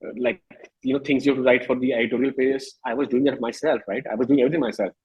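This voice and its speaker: A man's voice: medium pitch, steady tone, very fast, darkish tone, slightly rough, dull audio. A man in his thirties with an Indian accent, a musician speaking casually and emphatically in an interview, repeating phrases for stress. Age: 30s.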